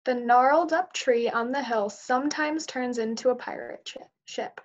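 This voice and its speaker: A woman's voice: fairly high pitch, moderate pace. A young woman, a teacher, reading a picture book aloud to children over a video call. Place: indoors, on a group video call. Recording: dull, muffled video call audio.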